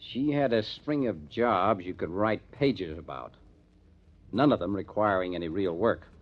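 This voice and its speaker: An actor playing a matter-of-fact police detective captain in an old-time radio crime drama, plainspoken and emphatic, on a muffled vintage recording. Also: male voice, low voice, animated, unhurried. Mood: businesslike, emphatic.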